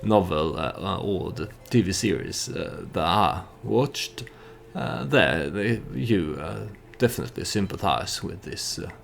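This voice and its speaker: A man hosting a let's play, giving storytelling game commentary in a halting way, as if thinking aloud. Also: low-pitched, expressive, vocal fry, a little hiss or hum.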